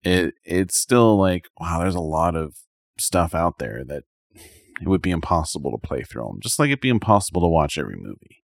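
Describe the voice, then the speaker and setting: Man, low voice, dry close sound, rambling colloquial podcast chat. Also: very animated, normal speed, gravelly, no room sound. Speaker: podcast co-host. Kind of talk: casual chat about video games.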